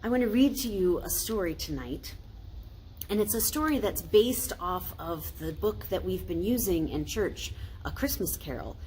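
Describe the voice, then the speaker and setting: An American woman, a pastor, reading a children's story aloud in a deliberate, explanatory way. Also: mid-range voice, expressive, a little mellow, low-quality recording. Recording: faint noise, ambient sound.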